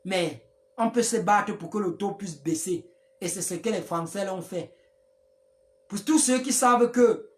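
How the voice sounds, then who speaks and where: A voice speaks to followers in a live stream, preaching and rambling with frequent restarts. The pitch sits in an ordinary middle range. It sounds recorded close on a phone or webcam. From Africa.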